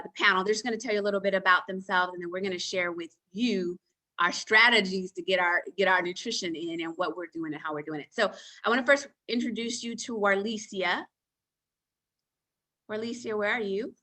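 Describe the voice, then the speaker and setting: A woman's voice: mid-range voice, brisk, bright, forceful tone. A woman hosting a nutrition panel on a call, giving an emphatic motivational talk, repeating her words and calling her listeners sister friends.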